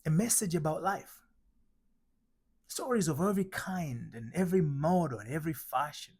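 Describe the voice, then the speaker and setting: A middle-aged man from Tanzania giving a spiritual teaching talk, slow and emphatic, asking rhetorical questions. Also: fairly high pitch, expressive, darkish tone, slightly rough. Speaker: identity transformation coach.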